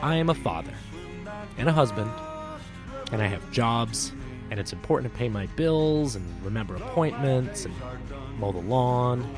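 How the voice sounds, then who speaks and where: A man's voice: medium pitch, expressive, normal speed. A man hosting a storytelling podcast, speaking in an earnest, reflective manner. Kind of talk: storybook narration and personal host talk. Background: music under the voice.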